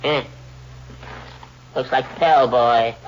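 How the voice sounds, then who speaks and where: A man's voice: medium pitch, fairly even intonation, very slow. A cranky old man in a vintage radio mystery, grumbling short, dismissive replies. The recording is muffled, with plenty of noise. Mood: grumpy. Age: older. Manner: grumbling, curt, repetitive "yeah". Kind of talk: 1940s radio acting.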